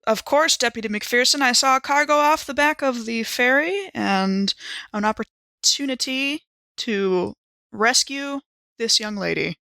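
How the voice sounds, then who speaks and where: A woman role-plays a wry, playful female traveller in an audio drama. Her voice is bright and crisp with plenty of creak, recorded very dry. She carries herself with theatrical self-possession. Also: a little high, unhurried, no echo, full character voice. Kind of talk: improvised role-play.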